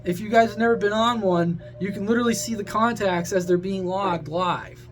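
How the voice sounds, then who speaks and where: A man's voice: sits high, normal speed, faint music behind. A man talking to viewers in a casual, opinionated rant, blunt, sweary and rambling, like a hobby radio vlogger.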